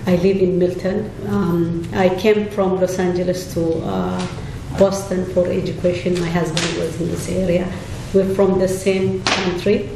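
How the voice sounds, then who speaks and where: A middle-aged woman, a panelist giving a slow, halting personal testimony and partly reading from notes. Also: on the low side, flat delivery, vocal fry. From Eritrea, raised in Ethiopia. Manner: halting, deliberate, with many pauses. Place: church hall. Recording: echoey hall from a distant mic, noisy.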